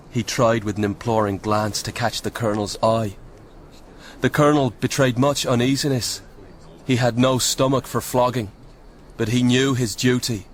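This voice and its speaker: An actor playing a weary, sombre soldier in a radio drama, speaking gravely and with measured, old-fashioned phrasing. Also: male voice, medium pitch, slight background noise, lightly acted. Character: sombre Irish soldier sergeant, weary eyewitness storyteller. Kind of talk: radio drama acting and narration.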